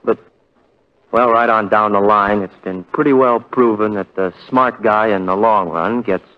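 A man's voice: lowish voice, fairly even intonation, unhurried, close to an everyday voice. An actor playing a folksy, rueful condemned man chattily telling his story in a 1940s radio drama. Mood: rueful, confiding. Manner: chatty, hesitant, aw-shucks. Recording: muffled 1940s radio broadcast.

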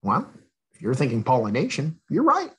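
A man's voice: mid-range voice, huge pitch swings, normal speed, a bit bright, slightly rough. A man reading a children's picture book aloud expressively, addressing young listeners with questions and asides. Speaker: read-aloud storyteller.

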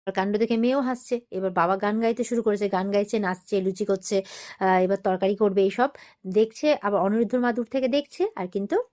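A woman's voice: medium pitch, fast, darkish tone. A woman narrating a TV serial recap in a casual, chatty storytelling way, acting out the dialogue as she goes.

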